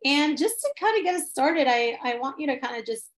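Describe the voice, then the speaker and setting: Casting: woman host, slightly high voice, upbeat and welcoming, talking over a video call. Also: animated, fairly quick. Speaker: interview host. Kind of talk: casual interview hosting. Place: home room.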